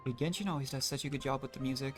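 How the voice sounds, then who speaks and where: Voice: medium pitch, steady tone, racing. A streamer giving casual, self-deprecating commentary while playing a video game, with game music in the background. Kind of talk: casual gameplay livestream commentary.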